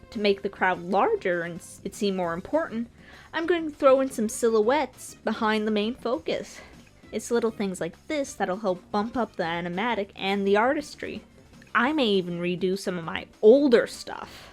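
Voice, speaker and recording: A woman narrating her own art video as a casual commentary, informal and lightly self-deprecating, with a joking touch. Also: mid-range voice. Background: music.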